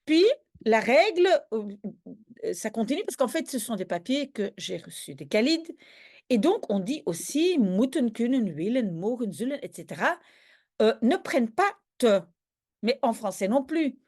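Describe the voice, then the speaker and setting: A woman's voice: fairly high pitch, very animated, unhurried, darkish tone. A woman teaching a grammar lesson in a patient, step-by-step way, often stopping to check that listeners follow. She is a native Dutch speaker. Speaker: language teacher. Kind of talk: explaining grammar in a video lesson.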